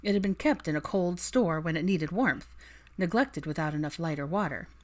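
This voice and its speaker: A woman's voice: fairly low pitch, expressive, moderate pace, darkish tone. A woman reading fiction aloud as an audiobook narrator, in scripted storytelling style.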